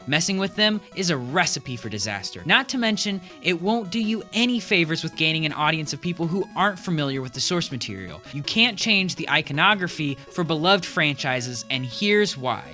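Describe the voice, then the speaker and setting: Lively bright-voiced male essay narrator. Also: on the high side, moderate pace, few pauses, soft background music. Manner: wry, punchy list delivery. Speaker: video essay host. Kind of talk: scripted comedic video essay narration.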